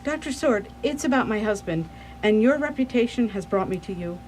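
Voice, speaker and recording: A woman's voice: fairly high pitch, expressive, brisk, darkish tone, a little hiss or hum, lightly acted, rough recording. A woman playing an anxious, devoted young wife in a radio play, genteel and pleading. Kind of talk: radio theatre acting.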